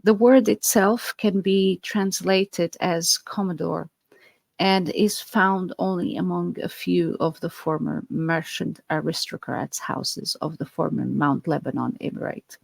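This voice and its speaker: Brief: Portuguese-accented woman podcast host, slow and earnest, low, dry close recording. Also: fairly even intonation, vocal fry, no echo. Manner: earnest, explanatory, with fillers and restarts. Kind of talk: podcast monologue.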